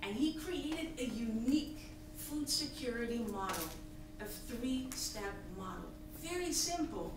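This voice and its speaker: Woman from Tanzania, 30s, higher voice, earnest founder pitch at a podium; heard from far back in an echoey hall. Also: animated, unhurried, background noise. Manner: earnest, emphatic, gesturing at slides. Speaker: nonprofit founder giving a pitch. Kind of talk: prepared presentation speech. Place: large hall, on stage at a podium. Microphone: podium mic, recorded by a far audience camera.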